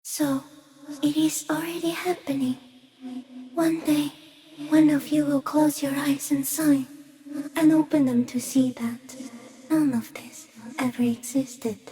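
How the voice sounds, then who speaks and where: Giant stick insect from a video game, really high soft mellow voice, slow n solemn, gentle, kinda cryptic. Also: female voice, steady tone, gravelly, exaggerated voice. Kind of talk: video game character acting.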